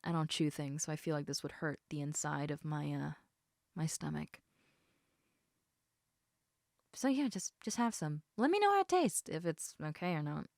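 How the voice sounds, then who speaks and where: A woman voicing an affectionate, teasing half-snake lamia in a casual, intimate audio roleplay, chatting informally with halting, trailing thoughts. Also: low voice, lively intonation, creaky, darkish tone, no room sound, studio quality. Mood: casual, hesitant.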